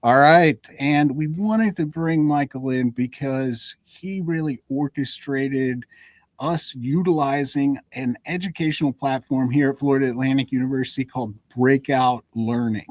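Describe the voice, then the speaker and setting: A man's voice: mid-range voice, normal speed, no echo. A man hosting a podcast in a conversational way. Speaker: podcast host.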